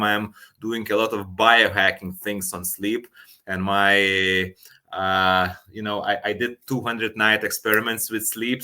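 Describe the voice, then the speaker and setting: A man from Lithuania with a Lithuanian accent, answering as an interview guest in halting non-native English with repeated words. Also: fairly low pitch, sharp tone. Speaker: guest, company co-founder and sleep coach.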